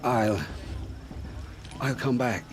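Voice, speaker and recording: An actor playing a nervous, evasive scavenger scout in a video game, halting and flustered. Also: male voice, mid-range voice, slight background noise. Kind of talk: naturalistic video game dialogue acting.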